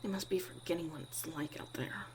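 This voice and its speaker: A woman's voice: on the low side, fairly even intonation, fairly quick, noisy background, lightly acted. A woman acting a tough but caring witch mother in an ASMR roleplay, muttering and often dropping to a whisper. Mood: exasperated, muttering.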